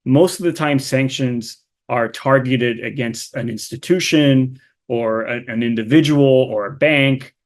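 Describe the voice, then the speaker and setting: A man's voice: mid-range voice, a little slow. A man from Miami speaking as a panel guest, often restarting and repeating words as he searches for phrasing. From Miami, United States. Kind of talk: panel discussion answer.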